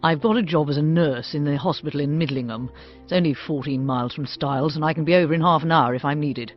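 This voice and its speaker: An actress playing a blunt, loyal, middle-aged British woman in a period radio drama, with a husky voice and a forthright, emphatic manner. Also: low voice, fast, lo-fi sound, soft background music, close to an everyday voice. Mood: earnest. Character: blunt, loyal, outspoken woman companion of a country house.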